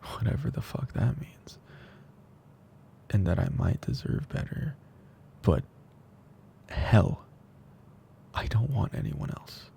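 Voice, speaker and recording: A man playing a tender, teasing best friend confessing love in an intimate ASMR roleplay, speaking softly and slowly with breathy drifts into whispering. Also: lowish voice, lively intonation, slight background noise, exaggerated voice. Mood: tender, earnest.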